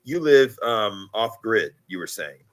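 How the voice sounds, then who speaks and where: A man in his thirties, hosting a casual podcast conversation, chatty and quick to laugh, acting out little quotes as he talks. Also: on the low side, big pitch swings, fairly bright tone. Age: 30s. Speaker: podcast host.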